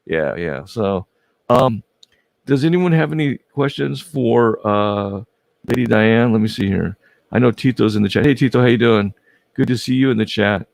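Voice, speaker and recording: A man's voice: fairly low pitch, moderate pace, gravelly, dry recording. A man hosting a casual livestream interview, friendly and prone to stumbling restarts. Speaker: host.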